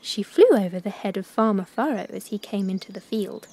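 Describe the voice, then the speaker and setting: A woman narrating a children's story from a script, in an expressive, careful storytelling manner. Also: medium pitch, brisk, slightly rough.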